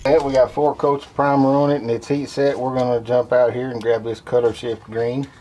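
A middle-aged man talks his way through a job at a workshop bench in a laid-back, folksy manner. His voice sits at an ordinary pitch. The recording picks up steady workshop noise and airbrush spray in the background. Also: brisk. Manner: folksy, relaxed running commentary while working. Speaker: lure painter demonstrating. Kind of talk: casual how-to demonstration.

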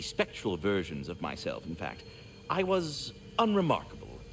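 A man's voice: medium pitch, slight background noise. An actor voicing a courteous, wordy, fatherly giant squirrel with flowery formal speech in a video game. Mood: cheerful, chatty. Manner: verbose, formal, flowery diction. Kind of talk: theatrical video game acting.

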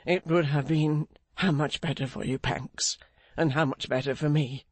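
A reader voicing an anguished, guilt-ridden gentleman in an audiobook, gentle and weary, repeating himself in grief. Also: medium pitch, steady tone, normal speed, dry recording, lightly acted. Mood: sorrowful commiseration. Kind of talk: audiobook character acting.

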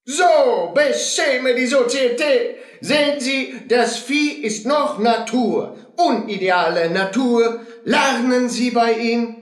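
A man's voice: very high-pitched, slow pace, few pauses, roomy sound. A man playing a pompous fairground showman in a German radio play, addressing a crowd with bombast. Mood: showy, grandiose. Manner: bombastic barker addressing a crowd, broken grammar. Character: pompous fairground showman presenting a learned horse.

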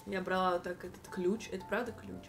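A woman's voice: fairly low pitch, steady tone, a little fast, music in the background. Chatty young woman on a stream. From Russia. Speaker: stream co-host. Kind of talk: casual chat. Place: living room, indoors.

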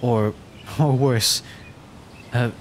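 Man voicing a slow, stammering, timid young dragon. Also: mid-range voice, fairly even intonation, slight background noise. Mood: frightened, pleading. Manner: stammering, hesitant, rambling, apologetic. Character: nervous, adorkable young male dragon, injured and frightened. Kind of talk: ASMR roleplay audio drama.

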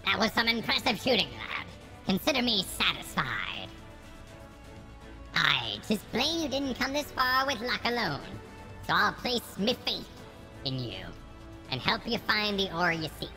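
A man voicing a gruff old male dwarf mining chief with a folksy brogue, saying aye and lad. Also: sits high, big pitch swings, a little slow, sharp tone, long reverb tail, faint music behind, voice pushed up, exaggerated voice. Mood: grumbling.

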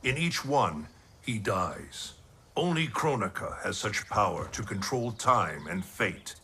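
An actor playing a solemn, commanding older thunder god in a video game, speaking with grave, formal diction. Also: male voice, lowish voice, sing-song, slow pace, pushed and bright, breathy edge, full character voice. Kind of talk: dramatic video game cutscene acting.

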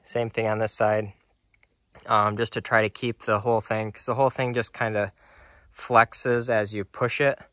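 A man's voice: on the low side, normal speed, dry recording. A middle-aged man walking through his homemade sawmill outdoors, talking casually into a handheld phone and laughing off his own mistakes. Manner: relaxed, self-deprecating, chuckles at his own mistakes. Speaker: DIY sawmill builder. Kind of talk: casual walkthrough vlog. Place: outdoors in a grassy yard by a sawmill trailer. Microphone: handheld phone camera, first-person.

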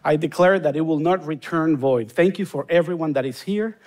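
Honduran-accented pastor preaching from stage. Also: male voice, on the high side, fairly quick, darkish tone. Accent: Honduran Spanish. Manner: earnest, rising to emphatic bursts on key points. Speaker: guest pastor. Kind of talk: sermon with prayer. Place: large church stage, indoors.

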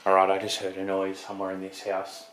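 Male ghost-hunt vlogger, thirties, fairly low voice, on-location narration with noticeable camera noise. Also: monotone, fast. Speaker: paranormal investigator, vlog host. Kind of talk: ghost-hunting vlog, on-the-spot narration and calling out to spirits. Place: inside an empty, derelict house. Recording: noisy handheld camera audio with clicks.

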